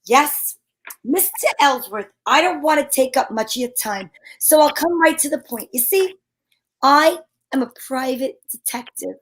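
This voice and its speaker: A woman's voice: high voice, lively intonation, measured pace, a bit bright, rough recording. A woman playing a wry, self-assured private detective who narrates her case in an amateur old-time radio mystery. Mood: cool, businesslike. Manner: wry, sassy, slangy. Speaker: lead actress and narrator.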